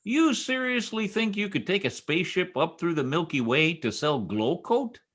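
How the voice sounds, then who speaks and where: A man's voice: high-pitched, very animated, normal speed, a bit bright, full character voice. A man playing a boastful, folksy small-town husband full of slangy bluster in an old-time radio comedy. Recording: video call recording.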